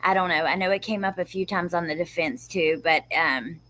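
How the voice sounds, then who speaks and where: A woman's voice: fairly low pitch, fairly quick, pushed and bright. A woman hosting a casual livestream, chatting informally and agreeably with her guests and viewers. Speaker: livestream host. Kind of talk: casual chat, reading out viewer comments.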